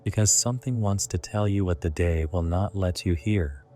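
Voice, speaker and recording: A man narrating a scripted guided sleep meditation in a hushed, deliberate way, in short phrases. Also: low-pitched, slight background noise.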